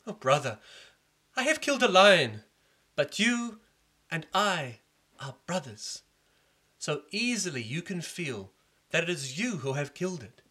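Brief: man playing a warm-hearted young prince, gently raised, bright voice. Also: a little high, big pitch swings, measured pace, pauses often, breathy edge, voice pushed up, full character voice. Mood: gentle. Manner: gentle, generous. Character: kind, brave young prince.

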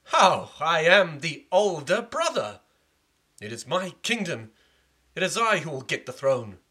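A man voicing a jealous, petulant older prince who complains loudly about being overlooked. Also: a little high, very animated, normal speed, breathy edge, heavily acted. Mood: indignant. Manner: whining, resentful outbursts.

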